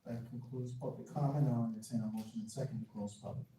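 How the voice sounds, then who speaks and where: A man chairing a public meeting as council president, procedural and unhurried. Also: medium pitch, fairly even intonation, mellow, slight background noise. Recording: echoey chamber from far off.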